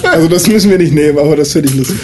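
A man's voice: a little high, animated, very fast, crowd noise. A young man improvising a story as a player in a tabletop role-playing game, chatting casually and with slang. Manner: informal, slangy.

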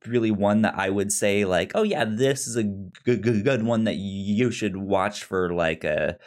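A man co-hosting a film podcast in casual discussion, halting and stuttering, often repeating sounds and words. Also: fairly low pitch, a little mellow. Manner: halting, hesitant delivery.

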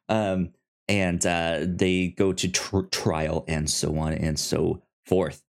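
A man hosting a casual review podcast, speaking in a halting way with stammered, repeated word starts. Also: low voice, steady tone, vocal fry. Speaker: podcast host.

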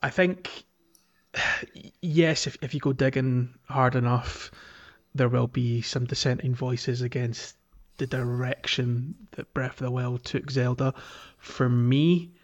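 Man, mid-pitched voice, podcast host, casual chat, enthusiastic manner. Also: steady tone, slow, highs cut off.

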